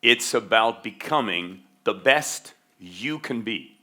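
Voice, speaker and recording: Middle-aged German-born male keynote speaker; low, bright, driving delivery, emphatic repeats. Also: lively intonation, slow. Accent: faint American-schooled German. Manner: emphatic, stretches key words, repeats for stress. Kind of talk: motivational keynote talk.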